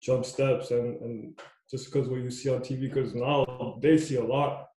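A man's voice: medium pitch, level tone, unhurried, mellow, echoey room, rough recording. A young man coaching youth basketball, talking casually in a loose, repetitive way. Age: young adult. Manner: loose, repetitive, says "you know what I mean" a lot. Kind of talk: casual podcast chat.

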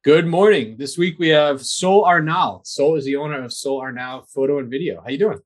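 A man's voice: on the high side, a little fast. A man hosting a local business podcast, chatting in a friendly, easygoing way with plenty of fillers. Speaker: podcast host. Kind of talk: casual interview.

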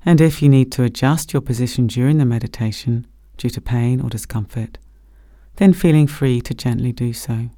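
A man gently leading a guided body scan meditation as a meditation teacher, speaking slowly and soothingly. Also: mid-range voice, no echo, clean studio sound. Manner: gentle, slow, soothing instruction.

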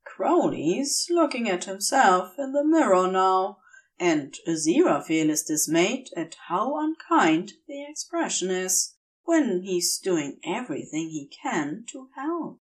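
A woman's voice: medium pitch, big pitch swings, slow pace, distant mic. A woman narrating a scripted piece of fiction as an audiobook-style reader. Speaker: podfic narrator.

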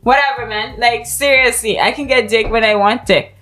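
A young woman from Zimbabwe chats casually as a podcast co-host. She tells stories in a blunt, lively way, acting out what others said, in a fairly high voice. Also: normal speed. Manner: animated, blunt storytelling with quoted voices and laughs. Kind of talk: casual chat.

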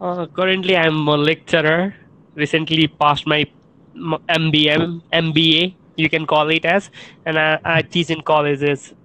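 A man from Nepal speaks English with a Nepali accent, choosing his words carefully and sometimes haltingly. English is not his first language. His voice sits a touch high. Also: flat delivery, bright, forceful tone, rough recording. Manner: careful, halting non-native phrasing. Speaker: college lecturer. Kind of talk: casual conversation.

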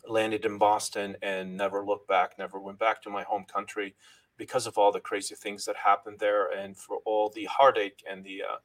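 A man's voice: fairly low pitch, level tone, moderate pace, a bit bright, poor audio. A middle-aged man originally from Lebanon, a guest in an interview, telling his life story earnestly and thanking God often, with frequent restarts.

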